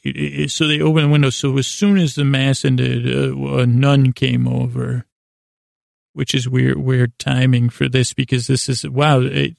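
An American man telling rambling, improvised stories as a podcast host, halting and often interrupting himself with deadpan whimsy. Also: medium pitch, no room sound, clean studio sound. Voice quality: gravelly, creaky. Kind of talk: rambling, improvised monologue storytelling.

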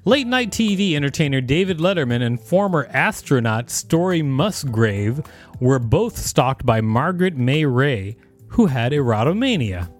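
A man's voice: medium pitch, big pitch swings, a little slow, soft background music. A man co-hosting a podcast, reading an article aloud with playful, jokey asides. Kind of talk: casual read-aloud with asides.

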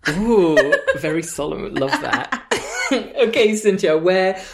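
A woman's voice: on the low side, lively intonation, takes it slowly, crowd noise. A podcast host from France with a French accent, opening the show in a teasing, playful way and chatting casually with her guest. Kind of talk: casual chat.

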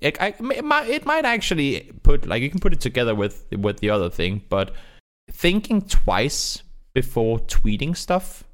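A man who is not a native English speaker, talking casually and in a rambling, animated way as a guest caster in an interview. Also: mid-range voice, normal speed. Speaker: esports caster being interviewed.

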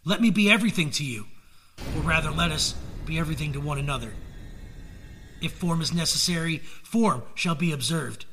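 A man's voice: fairly high pitch, a little fast, fairly bright tone, a little hiss or hum. A man voicing an ardent young male student in a dramatic storybook reading, fervent and declamatory.

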